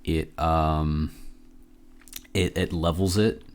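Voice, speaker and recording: A man with a low voice walks through a software tutorial casually. He sounds a little under the weather and talks in an offhand, halting way, close to the mic. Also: fairly even intonation, smooth. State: sick with a cough. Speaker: tutorial presenter. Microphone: close microphone.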